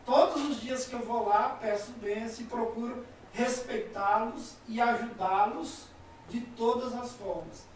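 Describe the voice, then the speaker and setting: A man's voice: very high voice, unhurried, a little hiss or hum. Brazilian man in his forties, echoey. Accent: Brazilian Portuguese. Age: 40s. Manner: calm, agreeing, tells personal examples. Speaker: talk show guest. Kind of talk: conversational talk. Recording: echoey room, voice from far off.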